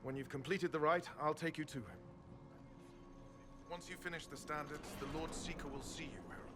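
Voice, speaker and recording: An actor playing a dutiful, troubled young knight in a fantasy game, speaking formally and with grave, measured delivery. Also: male voice, a little high, fairly even intonation, noisy background, lightly acted. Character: earnest, dutiful young knight templar, troubled but loyal. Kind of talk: dramatic fantasy game acting.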